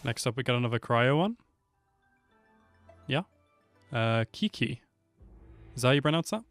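A man's voice: mid-range voice, animated, normal speed, a bit bright. A man in his twenties giving casual reaction commentary into a close microphone. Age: 20s. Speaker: reaction video host. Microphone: close desk microphone. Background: game trailer music.

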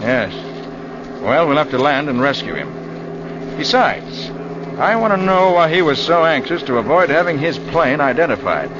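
An American man playing a calm, authoritative master detective in a 1940s radio drama, speaking deliberately. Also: on the high side. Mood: urgent, commanding. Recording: muffled, noisy 1940s radio recording.

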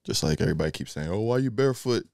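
A man's voice: lowish voice, animated, rapid-fire, creaky, darkish tone, no echo, clean studio sound. A man around his thirties chatting casually as a podcast co-host. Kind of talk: casual chat. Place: small indoor podcast room, seated. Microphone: boom-arm studio microphone.

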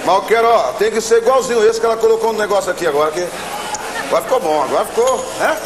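A man's voice: sits high, rapid-fire. A middle-aged man from São Paulo, pulling a prank and playing innocent at a café counter. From São Paulo, Brazil. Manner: playful, feigning innocence. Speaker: prank show actor. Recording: echoey café with crowd.

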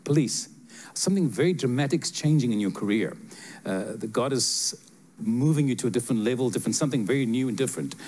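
An older man preaches to a seated congregation in a church sanctuary, talking straight to individual listeners. His handheld mic picks up a voice of middling pitch that moves between earnest prophecy and light teasing jokes. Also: fast. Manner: animated, joking, direct address to listeners. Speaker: guest preacher giving prophetic words.

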